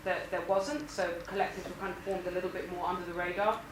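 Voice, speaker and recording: A woman's voice: on the low side, little pitch movement, fast. A woman in the audience asking a question, tentative and a bit apologetic. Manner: apologetic, tentative, says she has a loud voice. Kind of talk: question from the floor. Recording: echoey hall, distant mic, noisy.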